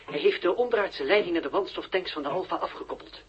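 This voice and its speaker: A man's voice: high voice, quick pace, natural voice. An actor playing a male radio reporter solemnly narrating a rocket launch in a 1960s radio drama. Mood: tense, hushed. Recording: dull, noisy old radio recording.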